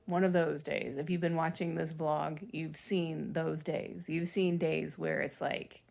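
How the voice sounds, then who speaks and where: Low raspy woman chatting slowly. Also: level tone, darkish tone. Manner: chatty, rambling, self-deprecating with small laughs. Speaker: vlogger mom. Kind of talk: rambling casual vlog chat.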